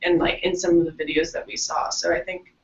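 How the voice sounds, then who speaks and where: A woman's voice: on the low side, flat delivery, brisk. A woman in a casual group discussion who talks thoughtfully and often says "like". She sounds far from the mic, in an echoey room. The audio is dull and lo-fi. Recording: distant, roomy, muffled audio.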